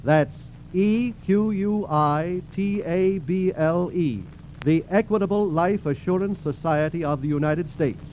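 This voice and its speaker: A man reading a sponsor's message as a 1940s radio announcer, formal, deliberate and persuasive. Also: high-pitched, muffled recording. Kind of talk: 1940s radio advertising read. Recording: noisy vintage 1940s radio broadcast.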